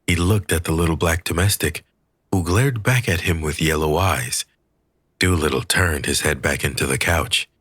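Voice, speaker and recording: A man reading a scripted story aloud as an audiobook narrator, with a husky voice. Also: very deep voice, normal speed, fairly bright tone, no echo, clean studio sound.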